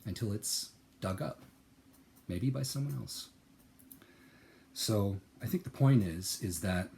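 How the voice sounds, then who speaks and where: A man speaking in a personal, reflective monologue, earnest and searching, repeating words as he thinks and swinging from soft, lowered phrases to sudden loud, emphatic bursts. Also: a little hiss or hum. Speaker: channel host.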